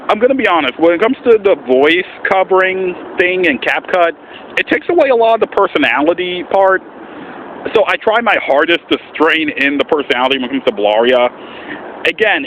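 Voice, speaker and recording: A man talking casually and earnestly in a vlog as an amateur voice actor, with an animated, swooping delivery. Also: a little high. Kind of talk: casual vlog explanation with voice demonstrations. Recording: muffled, faint background noise.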